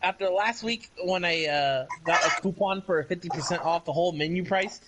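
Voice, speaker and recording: A man in casual, joking chat on a group stream, rambling and halting as he talks. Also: sits high, noisy background.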